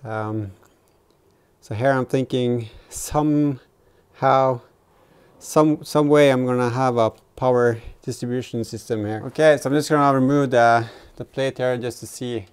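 A man, probably in his thirties, giving a casual hands-on workshop narration in a halting way as he thinks aloud while working. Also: mid-range voice, steady tone, clear, resonant tone. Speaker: host of a DIY vehicle build vlog. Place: indoor garage workshop, in and around an open car.

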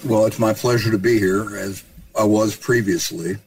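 A man's voice: fairly low pitch, steady tone, normal speed, darkish tone, distant mic, slight background noise, low-quality recording. An older man from Ohio, a guest archaeologist telling stories about his early life in a dry, wry way. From Ohio, United States. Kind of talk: interview answers.

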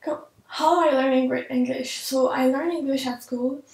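A woman's voice: high-pitched, steady tone, moderate pace, vocal fry, a little mellow, far from the mic. A young woman in her twenties from Quebec with a French-Canadian accent, speaking English as a second language in an earnest, hesitant casual vlog from her home office, with frequent ums as she searches for words. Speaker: tech vlogger. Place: home office with desk and computers, indoors. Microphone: camera mic at desk distance. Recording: echoey room, mic set back.

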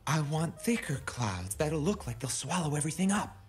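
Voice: medium pitch, very animated, normal speed, faint music behind, close to an everyday voice. An actor playing an earnest, aloof young fairy in an English anime dub.

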